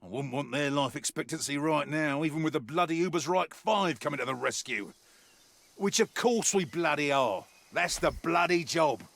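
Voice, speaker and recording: Sardonic veteran soldier, fairly high male voice, lively swooping pitch, grumbling game briefing. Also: moderate pace, gravelly, exaggerated voice. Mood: grim, determined. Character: gruff, wry, world-weary male mercenary soldier. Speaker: video game character voice actor. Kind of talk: scripted in-character mission briefing monologue.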